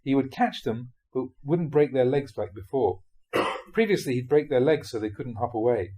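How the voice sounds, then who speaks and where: A man reading Buddhist teaching stories aloud in the animated manner of a storyteller. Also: mid-range voice, moderate pace. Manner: animated storyteller, acts out dialogue, often chuckles. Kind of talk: audiobook reading of dharma talk storytelling.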